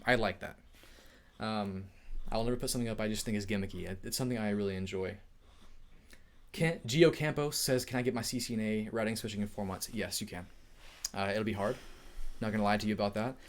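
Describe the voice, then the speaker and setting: Casual male streamer answering chat questions, energetic and friendly, often laughing. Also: fairly low pitch, a little fast, long pauses. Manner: upbeat, chatty, laughs often. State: overheated. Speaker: tech YouTuber hosting a live Q&A.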